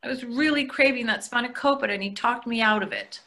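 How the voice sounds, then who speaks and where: A woman in her sixties acting in a comic readers theatre play as an expressive, petulant but admiring wife, over a video call. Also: on the high side, fast. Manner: expressive, emphatic. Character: hungry, petulant yet admiring middle-aged wife. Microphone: computer microphone on video call.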